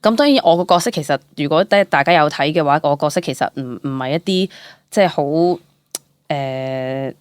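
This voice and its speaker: A young woman with a Hong Kong Cantonese accent, an actor telling stories casually as an interview guest, chatty and expressive, dropping in English words. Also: sits low, fairly quick, clean tone, no room sound. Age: young adult.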